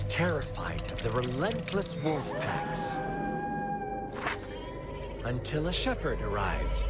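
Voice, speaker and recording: An actor playing a sinister, taunting game villain who tells a twisted fairy tale in a theatrical, drawn-out manner. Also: male voice, medium pitch. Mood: dramatic storytelling. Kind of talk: video game character acting, storytelling monologue. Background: background music.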